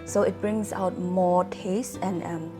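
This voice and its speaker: A woman's voice: on the low side, steady tone, slow pace, music in the background. A woman from Germany explaining casually in conversation, hesitant and often searching for words. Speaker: wine-savvy guest explaining to host.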